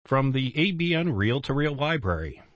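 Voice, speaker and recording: A man's voice: mid-range voice, sing-song, normal speed, no echo. A male radio announcer giving a formal station introduction. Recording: dry, dull radio sound.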